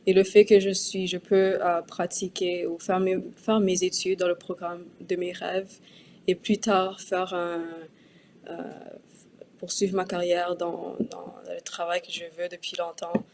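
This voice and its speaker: A woman's voice: lowish voice, level tone, a little slow, gravelly, a little mellow, slight background noise. A young woman student answering hesitantly.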